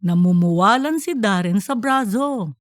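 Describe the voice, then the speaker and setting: Woman narrator, animated and dry-recorded. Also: medium pitch, unhurried, dry recording. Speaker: audiobook narrator. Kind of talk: scripted comic story narration.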